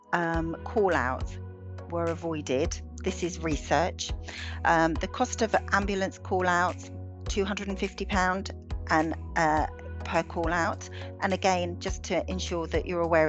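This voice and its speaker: A British woman presenting slides in a webinar over a video call, measured and halting with frequent ums and restarts, her voice going hoarse. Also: fairly low pitch. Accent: British English. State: losing her voice.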